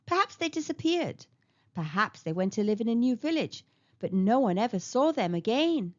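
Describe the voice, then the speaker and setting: A woman reading a children's story aloud in an expressive storytelling manner. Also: on the high side, normal speed. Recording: dull lo-fi recording.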